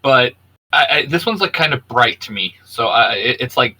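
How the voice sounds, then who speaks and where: Bright, husky man chatting casually. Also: medium pitch, animated, normal speed, poor audio. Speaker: co-host of a geek culture show. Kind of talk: casual chat, reviewing video game designs.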